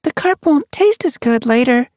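A man doing a shrill pushy young she-cat. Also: animated, normal speed, a little mellow, dry recording, studio quality. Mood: urgent. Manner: urgent, pushy. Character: eager young female apprentice cat.

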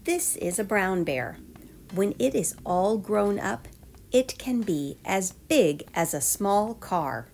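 Animated, unhurried woman reading to children. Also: medium pitch. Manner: clear, expressive, addressing young children. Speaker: storyteller, children's read-aloud host. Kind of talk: read-aloud of a children's nonfiction book. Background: background music.

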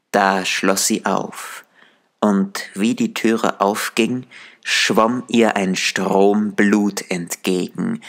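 A man narrating a dark fairy tale as a storyteller, in a measured, deliberate delivery. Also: low-pitched, creaky.

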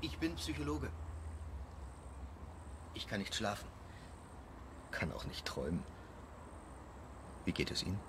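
An actor playing a quiet, probing, sleepless psychologist in a radio drama, asking questions softly. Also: male voice, on the low side, big pitch swings, a little slow, long pauses, noisy background. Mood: probing, insistent. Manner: soft-spoken questioning. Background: music.

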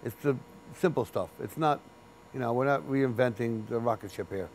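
Man in his fifties, chief engineer, plain-spoken and practical, mid-pitched voice, faint hum of machinery behind. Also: normal speed, poor audio. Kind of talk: interview for a training video. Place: boiler room in a building basement.

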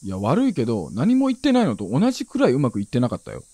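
A man's voice: medium pitch, wildly swooping pitch, fast talker, mellow, husky, dry recording, full character voice. An actor playing a blunt, easygoing and impulsive young man, the hometown friend, in a naturalistic radio drama. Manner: casual, blunt, offhand.

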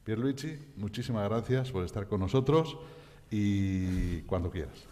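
Older male presenter, low voice, anecdotal and self-deprecating; hall reverb. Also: expressive, a little slow, mellow. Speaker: event presenter. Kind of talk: introducing a guest speaker. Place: conference hall at a panel table. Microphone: table microphone.

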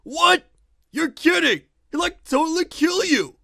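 Man doing a high, creaky teenage goblin. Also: animated, measured pace, bright, forceful tone, dry recording, studio quality, exaggerated voice. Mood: shocked. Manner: slacker teen slang. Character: laid-back rebellious teenage male goblin. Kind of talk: cartoon fan dub acting.

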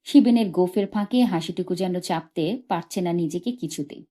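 A woman's voice: on the low side, moderate pace, warm tone. A woman narrating a short story as an audiobook reader, expressively and from a script.

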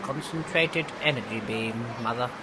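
A man's voice: medium pitch, moderate pace, heavy background noise, lightly acted. A man playing a smug, patronizing son who collaborates with the invaders. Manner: patronizing, self-assured.

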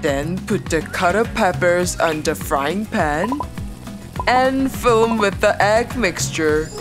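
A kind, cheerful elephant who loves to cook in a children's puppet show, voiced by a woman. The speech is gentle and unhurried, with music playing behind. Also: lowish voice, lively intonation. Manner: gentle, cheerful, instructing simply. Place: studio voice-over.

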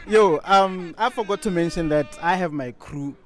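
Young male podcast host, slightly high voice, relaxed and chatty, outdoor boom mic. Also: normal speed, faint music behind. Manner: easygoing, laughs often, short backchannels. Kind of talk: casual interview talk. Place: outdoor table on a campus courtyard. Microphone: studio mic on boom arm.

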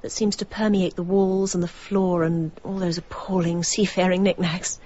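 An actress playing a bored, catty, discontented wife in a radio drama. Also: female voice, on the low side, level tone, normal speed, mellow, dull audio, lightly acted. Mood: sly, bitter.

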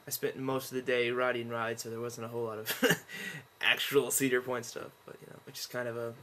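A tired young man rambling in a low-key way to a webcam from his bedroom, recording a casual video diary. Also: level tone, brisk, bright, forceful tone. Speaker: vlogger. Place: bedroom at home.

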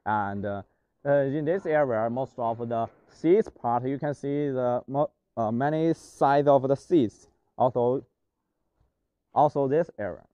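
A man's voice: mid-range voice, measured pace, warm tone, dull audio. A young man who is not an English speaker, guiding a tour of a factory warehouse in English, hesitant and full of "uh" fillers and restarts. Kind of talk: walking factory tour presentation. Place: large indoor factory warehouse.

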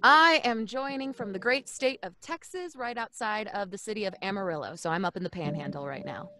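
A woman's voice: medium pitch, brisk, bright, forceful tone, dry recording. A woman chatting casually as a guest on a video call, speaking into a studio mic. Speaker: guest voice actor. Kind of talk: casual chat. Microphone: studio condenser mic on stand.

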